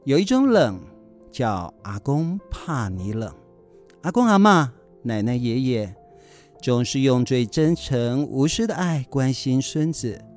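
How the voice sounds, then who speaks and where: Slow, expressive male radio presenter. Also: medium pitch, soft background music. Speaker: presenter introducing a radio story. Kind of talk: scripted radio introduction.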